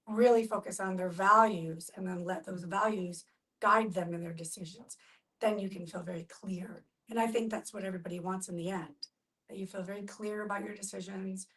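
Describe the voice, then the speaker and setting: Woman, average pitch, measured, explanatory, reiterates, group discussion, slightly far from mic. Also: fairly bright tone. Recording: voice picked up from a distance.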